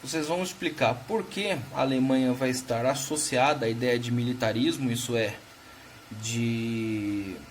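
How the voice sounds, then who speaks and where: A man's voice: mid-range voice, normal speed, a little hiss or hum. A Brazilian man teaching a recorded history lesson, explaining patiently and often checking in with his students. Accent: Brazilian Portuguese.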